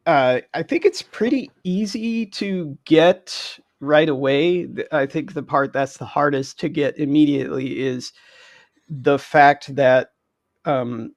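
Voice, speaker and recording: A man's voice: mid-range voice, no echo. A man chatting casually and telling anecdotes as a guest game creator on a video call. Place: indoor room on a video call.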